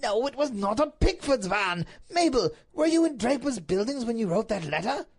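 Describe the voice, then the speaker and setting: A woman's voice: mid-range voice, sing-song, moderate pace, breathy edge, pitched above the performer's own voice. A woman voicing a bewildered, indignant but doting duke in a storybook reading, with a pompous, emphatic, melodramatic delivery.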